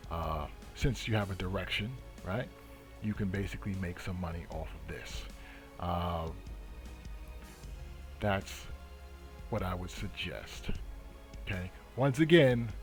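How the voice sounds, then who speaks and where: A man with a fairly low voice gives unscripted market commentary as the host of his channel. He talks very slowly and deliberately, halting between phrases. Music plays faintly behind him.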